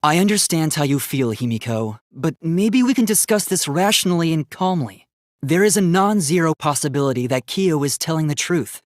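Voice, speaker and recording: Man voicing K1-B0, earnest robot boy, lively intonation. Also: on the high side, moderate pace, dry recording, studio quality, lightly acted. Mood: calm, pondering. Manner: formal, precise, stiffly logical wording. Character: earnest, polite young male robot student, easily flustered. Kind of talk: video game voice acting.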